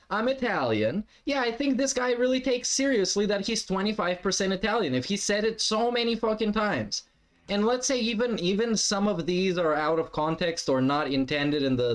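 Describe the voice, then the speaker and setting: A man doing reaction commentary on stream, mocking and heckling with lots of swearing. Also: high-pitched.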